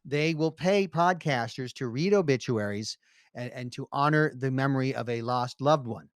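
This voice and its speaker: A man co-hosting a comedy podcast with deadpan, mock-earnest delivery. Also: medium pitch, moderate pace, clean tone, no echo, studio quality.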